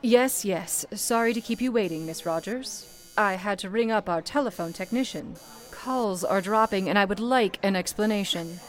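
A woman's voice: medium pitch, moderate pace, soft background music, lightly acted. A woman playing a stern, formal switchboard supervisor in an old-time radio play, clipped and commanding. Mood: curt, professional. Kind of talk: 1930s-style radio play acting.

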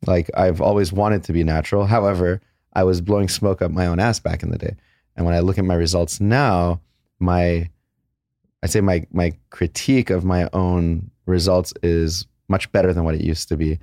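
Blunt low-voiced surgeon, dry mic. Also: male voice, fairly even intonation, moderate pace, darkish tone, dry recording. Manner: blunt, candid, with crude jokes and laughs. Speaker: plastic surgeon. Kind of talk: casual podcast interview.

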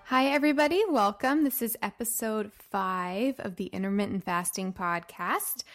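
A woman's voice: medium pitch, moderate pace, soft background music. A woman hosting a podcast in casual chat, bubbly, laughing often and filling gaps with ums. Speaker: podcast host.

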